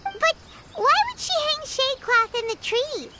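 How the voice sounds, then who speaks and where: Small floating creature, squeaky, bouncy, drawn-out delivery. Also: female voice, animated, heavy background noise. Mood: puzzled. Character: tiny excitable floating companion creature. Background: game soundtrack music.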